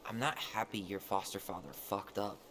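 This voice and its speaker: An actor playing a guarded, dry-witted young American brother in naturalistic video game dialogue. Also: male voice, on the low side, steady tone, moderate pace, a bit bright, close to an everyday voice. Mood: resentful. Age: young adult. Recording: noisy ambient background.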